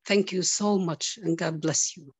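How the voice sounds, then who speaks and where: A woman's voice: fairly low pitch, a little slow, a little mellow, husky, dry recording. A woman, native Arabic speaker with an Arabic accent, giving a heartfelt informal thanks as a student on a video call.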